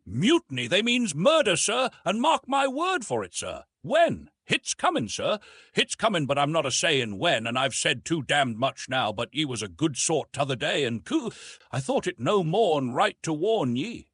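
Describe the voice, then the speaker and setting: A man acts out a little old Cockney sailor from an adventure storybook. His voice is husky and leaps about in pitch as he gives agitated, conspiratorial warnings. He drops his aitches and hammers his points home by repeating them. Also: sing-song, normal speed, a bit bright, dry recording, clean studio sound, heavily acted. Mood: urgent warning. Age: older. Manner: emphatic, dropped aitches, repeats himself.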